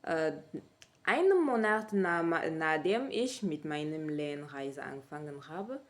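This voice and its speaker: Slow, hesitant French-accented woman. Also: deep voice, animated. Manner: hesitant, often pausing and correcting herself. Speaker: language learner sharing her method. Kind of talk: casual vlog talk to camera.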